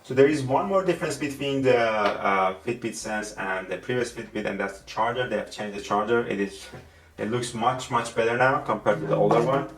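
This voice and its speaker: A man with a Persian accent, a non-native English speaker, giving a casual gadget review in a halting way that often repeats words and restarts sentences. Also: mid-range voice. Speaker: tech reviewer. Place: room at a desk, indoors. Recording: roomy, distant mic with faint music.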